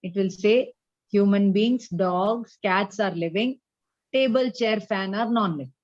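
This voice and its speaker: A woman with an Indian accent giving a spiritual class, explaining deliberately and checking that listeners follow. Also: medium pitch, darkish tone, dry recording, lo-fi sound. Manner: didactic, deliberate, checks understanding with questions. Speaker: religious teacher.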